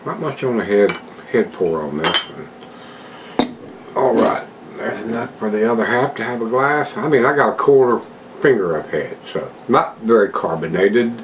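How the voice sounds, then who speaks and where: A man's voice: on the low side, animated, normal speed, noisy background. An older man at home casually reviewing a beer, chatting to his viewers in a folksy way. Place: home room with a desk and plants, indoors.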